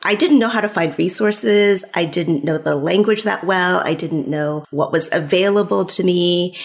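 A woman in her forties, born in the United States with Cantonese as her first language, talking casually as an interview guest with lots of "like" and "you know.". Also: fairly low pitch. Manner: conversational, many fillers like "like" and "you know". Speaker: guest author.

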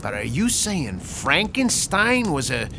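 Man voicing a gravelly mob boss. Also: fairly high pitch, big pitch swings, slow, background noise, full character voice. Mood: gruff. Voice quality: streetwise, ungrammatical slang. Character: self-made tough Chicago mob boss. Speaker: mobster. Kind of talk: radio drama acting.

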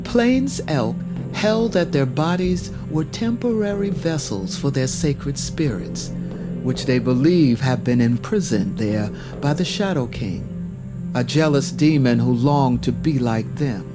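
Man, mid-pitched, unhurried solemn storytelling, dramatic fantasy narration, strong music bed. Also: lively intonation. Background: prominent music.

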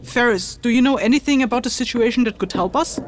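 A woman's voice: on the high side, fast, background noise, natural voice. A woman playing a thoughtful, reserved investigator in a fantasy audio drama, polite and tentative in her questions. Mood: pensive.

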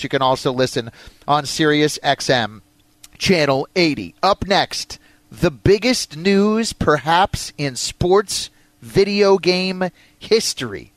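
A man's voice: fairly high pitch, no echo. A man hosting sports talk radio, announcing segments and discussing games.

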